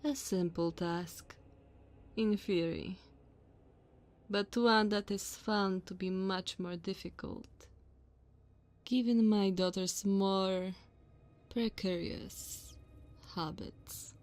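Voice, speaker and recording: Woman doing a tall vampire noblewoman for asmr roleplay, really slow and drawn out, haughty, bossy, mocking, talks all formal. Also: mid-range voice, fairly even intonation, a little mellow, close to an everyday voice. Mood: stern, formal. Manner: slow, condescending, theatrical formal diction.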